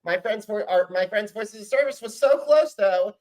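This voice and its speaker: A man's voice: high-pitched, normal speed, a crowd in the background. A man hosting a podcast interview, talking like an enthusiastic fan, in bursts with many restarts. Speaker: podcast host. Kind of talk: casual interview chat.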